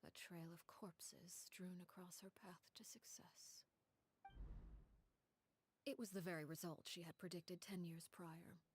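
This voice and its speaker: A woman voicing a cold, composed noblewoman commander in a video game, telling a grim story in a low, measured, husky half-whisper. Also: fairly even intonation, a little hiss or hum, lightly acted. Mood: somber. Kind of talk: video game cutscene dialogue.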